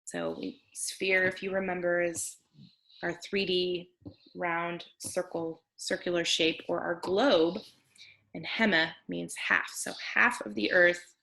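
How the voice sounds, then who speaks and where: A woman teaches by reading aloud to children over a video call. Her voice is bright and clear-edged, with a creaky fry running through it. She moves between storybook narration and simple, explanatory asides. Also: lowish voice, poor audio. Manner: explanatory, breaking down words simply. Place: online video meeting with screen sharing.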